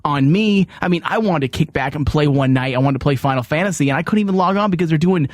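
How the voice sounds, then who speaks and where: A middle-aged man hosting a talk show with casual comic banter and animated, incredulous questioning. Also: a little high, fast, no room sound, clean studio sound.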